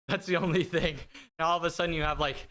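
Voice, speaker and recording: Guy streaming from his home setup, bright clean voice, kinda high, rambles and pokes fun at himself. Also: moderate pace. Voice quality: bright, higher-pitched voice. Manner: rambling and self-deprecating, with frequent fillers and laughs. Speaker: livestream host. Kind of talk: casual chat with live viewers. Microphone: desk streaming mic through an audio mixer.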